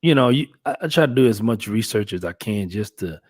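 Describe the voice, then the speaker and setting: A man's voice: on the low side, animated, fairly quick, darkish tone. A man in his fifties hosting a casual chat, rambling with many restarts and fillers. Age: 50s. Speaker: podcast host.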